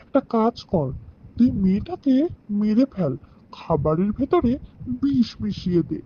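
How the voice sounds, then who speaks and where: A woman's voice: mid-range voice, sing-song, unhurried, pitched below the performer's own voice. A woman voicing a treacherous male friend in a cartoon story, a callous schemer who coaxes murder. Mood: scheming. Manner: plotting, coaxing, callous. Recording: dull distant lo-fi with faint hiss.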